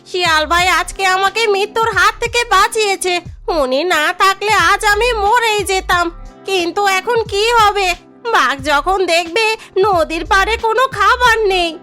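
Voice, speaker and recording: A woman's voice: extremely high pitch, measured pace, bright, forceful tone, faint music behind, heavily acted. A woman voicing a timid deer parent in a cartoon, with a plaintive, pleading way of speaking. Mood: anxious. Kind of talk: cartoon voice acting.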